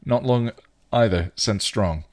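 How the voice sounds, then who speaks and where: Vampire tracker, male narrator's take: gruff, slangy, low, growling, story reading. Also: takes it slowly, a little mellow. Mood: matter-of-fact.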